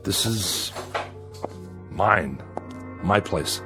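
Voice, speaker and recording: An actor in a radio drama playing a sardonic, weary convict living alone in exile on an asteroid. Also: male voice, low-pitched, expressive, very slow, sharp tone, full character voice. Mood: irritated. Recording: music behind.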